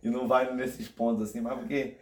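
A young man from Rio de Janeiro state with a Carioca accent, a podcast guest chatting in a relaxed, rambling way full of fillers and restarts. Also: medium pitch, fairly quick, roomy sound, crowd noise. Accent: Carioca Brazilian Portuguese. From Rio de Janeiro state, Brazil. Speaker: podcast guest, theology graduate. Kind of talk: casual chat.

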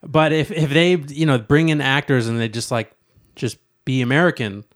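A man with a medium-pitched voice chats casually as a co-host. He feels his way through his opinions, rambling a little and circling back to repeat phrases. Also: steady tone, moderate pace. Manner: tentative, rambling, repeats himself. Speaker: co-host of a talk show. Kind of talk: casual chat.

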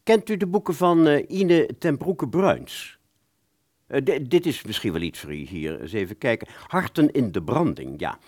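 A man's voice: medium pitch, very animated, moderate pace, a little mellow. An actor playing a courteous but pedantic and evasive bookshop salesman in a radio play, fussy and patronizing in manner. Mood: obliging.

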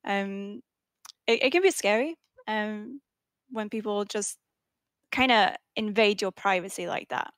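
A woman's voice: mid-range voice, unhurried, fairly bright tone, clear, resonant tone, no echo, clean studio sound. A woman streamer speaking on a panel, hesitant, with many ums. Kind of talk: casual panel discussion.

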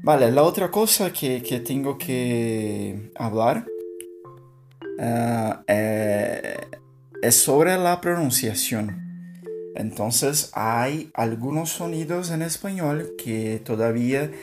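A Brazilian Portuguese speaker learning Spanish, talking in a halting way, searching for words and laughing softly. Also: medium pitch, lively intonation, music in the background. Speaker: language learner. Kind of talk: casual spoken practice monologue.

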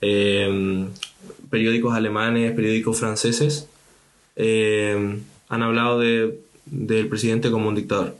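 A man's voice: fairly low pitch, level tone, slow, rough recording. A young man from Chile hosting a casual podcast discussion, talking hesitantly with many fillers and restarts. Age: young adult. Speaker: podcast host.

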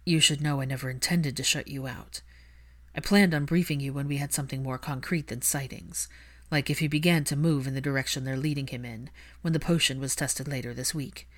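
A woman's voice: extremely low pitch, steady tone, fairly quick, pitched below the performer's own voice. A woman voicing a composed young aristocratic man in an audiobook story, with a dry, understated, guarded manner. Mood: earnest.

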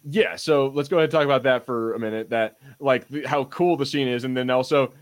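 A man's voice: mid-range voice, fairly even intonation, a little fast. A man hosting a podcast, chatting casually about TV shows in an informal way with some mild swearing. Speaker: podcast host.

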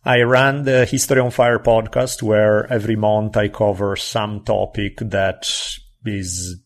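Italian male podcast host, lowish voice, chatty and expressive. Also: measured pace. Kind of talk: casual podcast chat.

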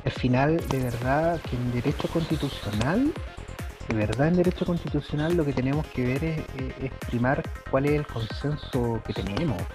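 A man's voice: medium pitch, normal speed, music in the background. A man with a Chilean accent in casual political chat, emphatic and argumentative.

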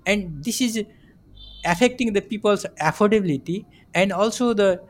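A man, a project coordinator speaking in a measured, methodical way on a formal panel. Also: sits high. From Nepal.

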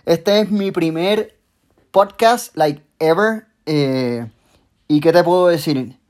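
A man's voice: a little high, takes it slowly. Guy hosting a podcast, hyped up, talks up his guest. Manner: excitable, hypes his guest. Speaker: podcast host and coach. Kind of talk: casual interview chat.

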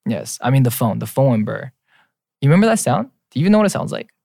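Playful young man, lively voice. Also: mid-range voice, fast talker, gravelly, no echo, clean studio sound. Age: young adult. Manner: playful, teasing, reads out lists. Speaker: podcast co-host. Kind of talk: casual chat.

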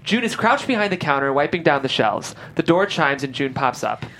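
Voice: mid-range voice, big pitch swings, brisk, fairly bright tone. A reader delivering scripted stage directions as narrator at a table read.

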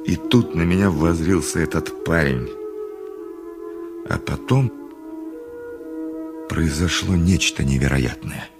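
A man's voice: very deep voice, slow pace, pauses often, music in the background, heavily acted. An actor playing a haughty, exasperated town dentist who tells his tale dramatically in a radio play. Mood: weary, suffering. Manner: dramatic, haughty, emphatic storytelling. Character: self-important, exasperated male town dentist, a traveller. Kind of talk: theatrical 1980s radio play narration and dialogue.

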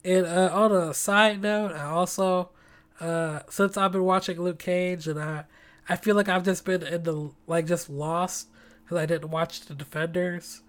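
A podcast host with a hoarse voice, talking in a rambling, enthusiastic, slangy way in a casual solo show from home. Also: mid-range voice, steady tone, measured pace. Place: home apartment.

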